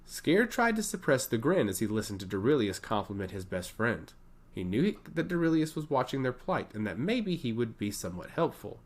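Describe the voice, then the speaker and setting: A man's voice: medium pitch, sing-song, moderate pace. A man reading scripted fantasy narration as a storyteller.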